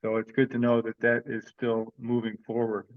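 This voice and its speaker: A man giving an informal, unscripted update as he presents at an online meeting, often halting and thinking aloud. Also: medium pitch, completely flat delivery. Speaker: online meeting presenter for an amateur radio group. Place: dry room, on a video call. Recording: dull, lo-fi video-call audio.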